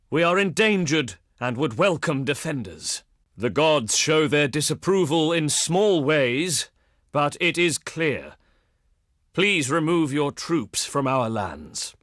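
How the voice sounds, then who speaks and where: An actor playing an ancient Greek envoy in a strategy game, with formal, pious, honey-tongued and declamatory delivery. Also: male voice, on the high side, fairly bright tone, no room sound, clean studio sound, full character voice. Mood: grave, pleading, then formal, insistent. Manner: declamatory, ceremonious, deliberate phrasing. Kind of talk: theatrical scripted game dialogue.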